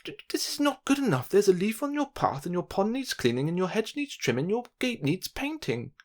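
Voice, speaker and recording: A man's voice: high-pitched, very animated, a little fast, voice pushed up. A man voicing a bossy, fussy storybook neighbour who nags about every little thing. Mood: bossy, nagging. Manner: fussy, nagging, blustering.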